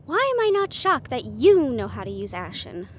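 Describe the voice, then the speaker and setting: A woman's voice: high-pitched, big pitch swings, moderate pace, slight background noise. A woman playing a blunt, protective young teammate in a fantasy audio roleplay, frank and sardonic in delivery. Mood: wry.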